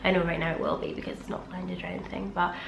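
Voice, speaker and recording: A woman's voice: lowish voice, little pitch movement, fast, vocal fry. A woman with a nasal, bunged-up voice from a cold, chatting casually through a makeup routine at home. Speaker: beauty vlogger. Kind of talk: chatty get-ready-with-me talk. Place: home room beside a busy street. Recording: echoey room with traffic noise. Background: traffic noise.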